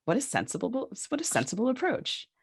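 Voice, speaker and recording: A woman's voice: on the high side, huge pitch swings, quick pace, breathy edge. Woman chatting casually, peppered with likes. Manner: hesitant phrasing with frequent "like" and "right". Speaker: podcast co-host. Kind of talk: casual discussion.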